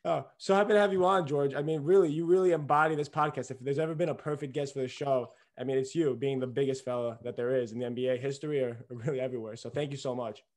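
A man's voice: mid-range voice, fairly even intonation. A young man hosting a casual podcast interview, eager and chatty, leaning on "I mean" as he talks. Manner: eager, rapid, lots of "I mean" fillers. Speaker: podcast host.

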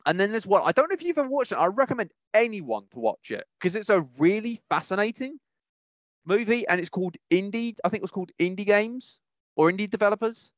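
Higher-pitched man, rambling podcast chat. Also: lively intonation, fast, no echo, muffled recording. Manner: rambling, emphatic, repeats phrases. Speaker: podcast host. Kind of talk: casual opinionated chat about films.

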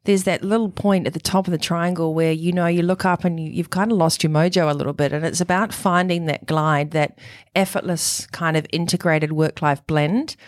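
A middle-aged woman with a New Zealand accent, a coach answering interview questions in an energetic, upbeat way. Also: fairly low pitch, dry recording, clean studio sound. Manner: energetic, upbeat, full of catchphrases. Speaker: guest, performance coach and author. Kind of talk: enthusiastic interview talk.

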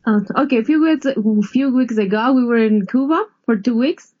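A woman's voice: on the high side, moderate pace, a little mellow. Woman on a dull line. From Ecuador. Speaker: interview guest. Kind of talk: casual conversational interview.

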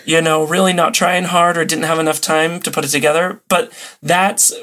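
A man's voice: fairly high pitch, level tone, moderate pace, bright, forceful tone. A man talking casually as a podcast guest, telling things in an amused way. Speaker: podcast guest, old-time radio enthusiast. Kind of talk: casual interview chat.

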